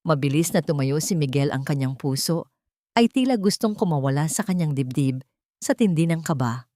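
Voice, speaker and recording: A woman storyteller reads a dramatic audio tale in measured, solemn phrases. Her voice sits on the low side. The recording is dry, with no room sound. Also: expressive, mellow, dry recording.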